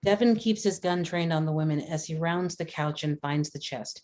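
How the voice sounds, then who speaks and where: Very low-pitched woman narrator. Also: normal speed, darkish tone. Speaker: stage directions narrator. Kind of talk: scripted screenplay narration.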